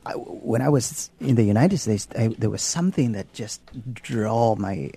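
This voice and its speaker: Gravelly, low Filipino-accented priest. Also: male voice, lively intonation, mellow. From Philippines. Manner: unhurried, halting, many ums and restarts. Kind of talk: telling his life story in an interview.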